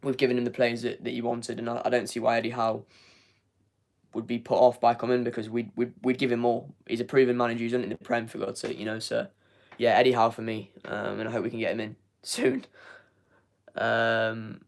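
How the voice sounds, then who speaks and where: A man's voice: medium pitch, level tone, brisk, gravelly. A young man hosting a live football fan review, losing his voice, rambling with repeated words and many fillers. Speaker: fan channel host. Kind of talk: live match review, reading chat.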